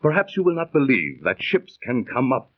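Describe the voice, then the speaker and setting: A slightly muffled old radio recording features an earnest seafarer with a scientific bent. His mid-pitched voice tells the yarn in formal, dramatic phrases with lively inflection. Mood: earnest storytelling. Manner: formal, dramatic, deliberate diction. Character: earnest, scientific-minded seafaring storyteller, Mister Morton. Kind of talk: 1930s radio acting.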